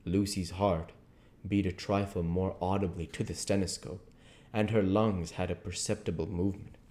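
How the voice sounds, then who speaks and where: A man with a low-pitched voice reads a classic novel aloud. His delivery is measured and earnest, like an amateur audiobook narrator working carefully through formal prose. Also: fairly even intonation, darkish tone. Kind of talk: literary narration read aloud.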